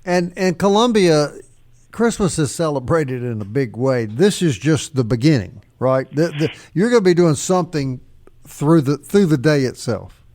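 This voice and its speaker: A man's voice: fairly high pitch, animated, normal speed, darkish tone. A man hosting a conversational radio interview. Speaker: radio show host.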